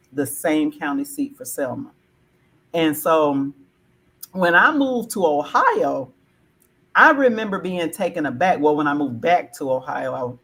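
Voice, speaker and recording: A woman in her forties from Alabama, hosting a casual discussion on a video call, animated and full of "like" fillers. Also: deep voice. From Alabama, American South.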